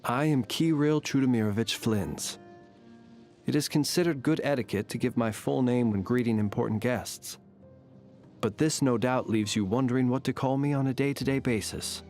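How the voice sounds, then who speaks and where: A man voicing a courteous, centuries-old fae night watchman in a video game, speaking formally and eloquently in a measured, quietly teasing way. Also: medium pitch, natural voice. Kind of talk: scripted video game character voice lines. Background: background music.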